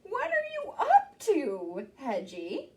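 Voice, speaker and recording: A woman voicing a gentle, motherly farm pig who oinks before speaking. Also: very high voice, huge pitch swings, slow pace, pitched above the performer's own voice, heavily acted. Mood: curious. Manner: gentle, oinking.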